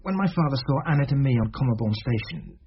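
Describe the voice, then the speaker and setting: An actor with a British accent playing an anxious, reluctant teenage schoolboy in a radio drama, talking haltingly. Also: male voice, medium pitch, expressive, natural voice. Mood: nervous, defensive. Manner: halting, nervous. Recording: muffled, noisy old radio recording.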